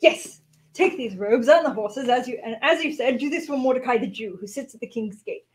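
A woman's voice: on the high side, brisk, natural voice. A woman playing a restless, commanding king in an amateur radio play. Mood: regal, commanding.

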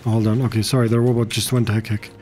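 A man's voice: on the low side, level tone, fast, dark tone. Guy who speaks arabic natively, streaming a game, chatty and teasing, bit of music in the back. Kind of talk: casual gaming commentary. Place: small indoor room, streaming setup.

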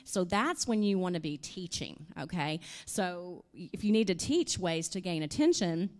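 Woman, lowish voice, handheld mic in a hotel conference room, conversational workshop talk. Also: unhurried. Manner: animated, conversational, uses "you know" and "I mean". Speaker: conference presenter. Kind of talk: workshop talk with audience questions. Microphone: handheld microphone.

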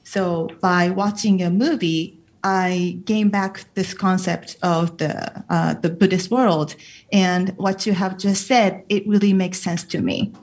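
A woman's voice: fairly low pitch, steady tone, drawn-out words, a little mellow. A woman working as an interpreter at a film festival talk, relaying careful, filler-heavy answers between English and Japanese. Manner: careful, filler-laden delivery.

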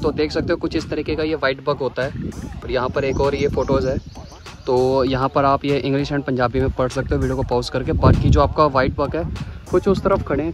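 A man vlogging a casual walking tour of a zoo, chatty and enthusiastic, often exclaiming, recorded outdoors on a handheld camera. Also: medium pitch. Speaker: travel vlogger.

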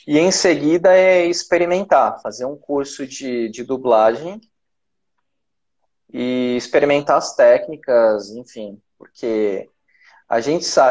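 A man in his thirties from Brazil, a voice actor guest on a video call, explaining things in a relaxed way with lots of repetition. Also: mid-range voice, lo-fi sound. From Brazil, Campinas. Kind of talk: casual interview chat. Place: small room at home. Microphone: video call with earphone mic.

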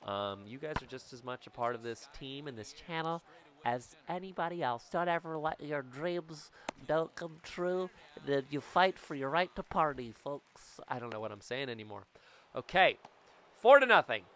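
Excitable man yelling over gameplay. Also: a little high, moderate pace. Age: 30s. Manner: excitable, jokey, bursts into loud yells. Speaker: gaming YouTuber. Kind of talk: gameplay commentary. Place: small room at home. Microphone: studio mic on boom, webcam framing.

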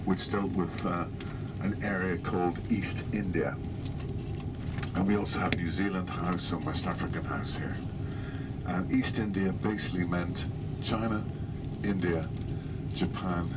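Low-voiced male tour guide over loud bus noise. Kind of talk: narrated sightseeing tour commentary. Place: inside a moving tour bus. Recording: loud vehicle noise throughout. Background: engine and road noise.

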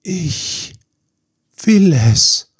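A man voicing a timid yet resolute young mermaid girl in a fairy-tale audiobook, with a raised, breathy, trembling delivery. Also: high voice, sing-song, very slow, pitched above the performer's own voice, exaggerated voice. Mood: fearful, resolute.

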